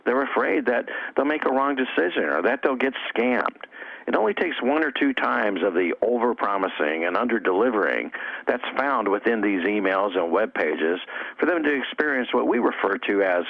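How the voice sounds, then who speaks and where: A man's voice: mid-range voice, vocal fry, dry recording. A man giving instructional interview answers as a coach and trainer. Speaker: network marketing coach and trainer. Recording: dull, dry lo-fi audio.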